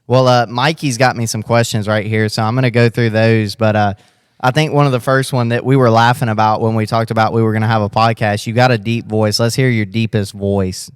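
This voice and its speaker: A man's voice: fairly low pitch, fairly even intonation, fairly quick, clean tone, no echo, clean studio sound. A man hosting a casual racing podcast interview, rambling and restarting his questions as he goes. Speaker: podcast host.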